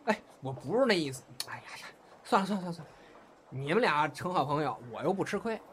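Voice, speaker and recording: A man's voice: on the high side, lively intonation, quick pace, a bit bright. A man playing a jealous, cheeky young brother in an audio drama, chatty and needling in manner. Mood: sincere. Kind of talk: Chinese audio drama dialogue. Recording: faint background noise and ambience.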